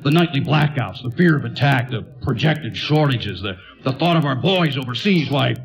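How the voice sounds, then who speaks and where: Bumbling, stammering 1940s mayor, creaky voice. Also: male voice, mid-range voice, lively intonation, normal speed, muffled recording. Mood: pompous, folksy. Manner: stammering, repetitive, blustery. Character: bumbling, self-satisfied 1940s mayor, forgetful and folksy. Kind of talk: 1940s-style radio play acting.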